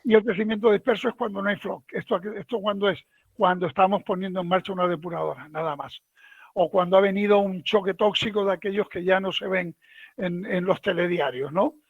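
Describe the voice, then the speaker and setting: A man gives a relaxed, folksy technical talk over a video call. His voice sits fairly high. The audio is dull and lo-fi, with a dry, close sound. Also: fairly quick, gravelly, no echo. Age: 70s. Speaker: guest lecturer. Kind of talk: online technical lecture.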